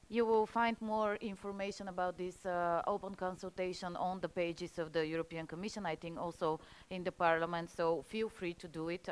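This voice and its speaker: A woman's voice: on the low side, clean tone. A woman with a Bulgarian accent, a native Bulgarian speaker, moderating an online panel politely and restarting her sentences now and then as she hands over the floor. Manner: polite, with hesitant restarts while introducing speakers. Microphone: video call.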